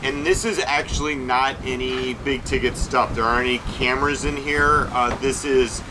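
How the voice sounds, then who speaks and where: A man co-hosting a sailing vlog, plainly walking through costs one item at a time with frequent pauses and fillers. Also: mid-range voice, steady tone, noisy background. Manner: matter-of-fact, listing figures with many uh fillers. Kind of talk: casual expense breakdown. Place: aboard a sailboat.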